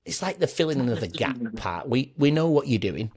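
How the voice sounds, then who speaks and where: A man's voice: mid-range voice, very animated, brisk, darkish tone, breathy edge. A man hosting a podcast, chatting earnestly in a rambling way, then closing with an encouraging sign-off. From England. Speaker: podcast host. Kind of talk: casual interview chat and scripted outro.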